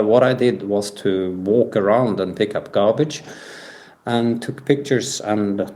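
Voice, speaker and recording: A man giving a personal account as an interview guest, speaking with halting, hesitant phrasing. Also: on the low side, darkish tone. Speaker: podcast guest.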